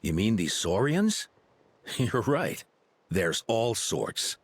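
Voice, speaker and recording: Stern warrior chief for a game, low husky voice, takes his time. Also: very animated, no echo, exaggerated voice. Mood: amused, commanding. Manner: deliberate, authoritative. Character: stern, principled male warrior chief, calm and grave. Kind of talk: video game voice acting. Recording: background music behind voice.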